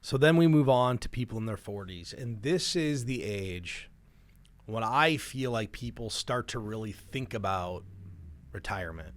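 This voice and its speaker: A man's voice: on the low side, lively intonation, measured pace, dry recording. A middle-aged man hosting a personal finance show, explaining things conversationally and acting out everyday examples as he goes. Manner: explanatory, illustrates with hypothetical first-person quotes. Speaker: financial planner and show host.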